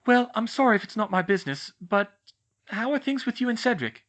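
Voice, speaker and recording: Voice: medium pitch, sing-song, fairly quick, a bit bright, voice pushed up. A narrator voicing a clever, bossy teenage witch girl who speaks in an earnest, insistent, scolding way. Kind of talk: fan fiction audiobook reading.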